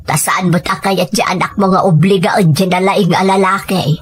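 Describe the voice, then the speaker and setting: A woman's voice: fairly low pitch, fairly even intonation, normal speed, slightly rough, faint music behind, voice pushed down. A woman voicing a concerned, plain-spoken elderly grandmother in a dramatic family story. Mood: worried. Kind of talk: dramatic Ilocano radio-style storytelling.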